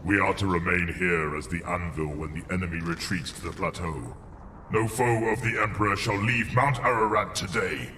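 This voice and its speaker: A man acts out an imperial guardian captain, low and stern. He gives orders with full authority in a sci-fi audio drama, with clear noise behind him. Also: moderate pace, bright, forceful tone. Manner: authoritative, commanding. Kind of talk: dramatic audio story acting.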